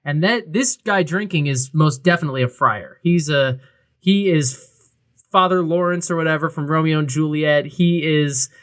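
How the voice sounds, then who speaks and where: A man's voice: fairly high pitch, normal speed, a bit bright. A man co-hosting a podcast, talking casually with a wry, joking manner. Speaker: co-host of a craft beer podcast.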